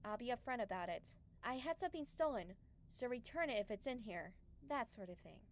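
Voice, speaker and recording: A woman playing a virtuous young woman, gentle but frank, in an earnest anime dub style. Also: on the high side, animated, brisk.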